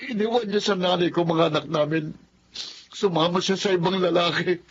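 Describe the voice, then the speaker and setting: A man's voice: high voice, moderate pace. A man playing a brooding, hot-tempered betrayed Filipino husband in radio drama style. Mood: anguished rage. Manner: brooding, intense. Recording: dull audio with background noise.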